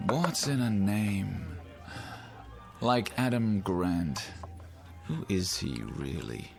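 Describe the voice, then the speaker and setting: Slow, low-voiced urbane newspaperman. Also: animated, creaky, a little mellow, faint music behind, full character voice. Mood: wry musing. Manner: wry, theatrical, leisurely phrasing. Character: urbane, musing newspaperman drinking at a bar. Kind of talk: radio drama dialogue.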